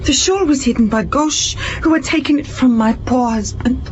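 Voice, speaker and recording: A woman's voice: high voice, moderate pace, a little mellow, lightly acted. A scheming adventuress voiced by an actress in an audio drama, posing as a wronged expectant mother. Her delivery is theatrical and self-justifying, with a venomous edge. The sound is slightly dull, with a noisy background. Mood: defiant, haughty. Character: cunning pregnant adventuress, feigning wronged innocence, venomous when crossed.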